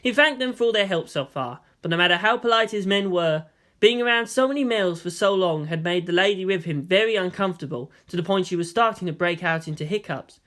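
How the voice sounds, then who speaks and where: A male narrator reads a piece of fan fiction in audiobook style. His voice sits clearly higher than most men's, and he delivers the prose as scripted storytelling. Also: lively intonation, fairly quick, a bit bright.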